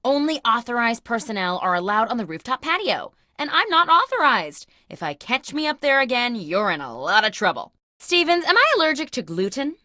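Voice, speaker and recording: A woman's voice: fairly high pitch, big pitch swings, brisk, pushed and bright, no echo, clean studio sound. A woman playing a clueless, self-important office boss in a comic video game, with a bossy, theatrical delivery. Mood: curt, bossy. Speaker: video game character host. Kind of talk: comic scripted phone monologues.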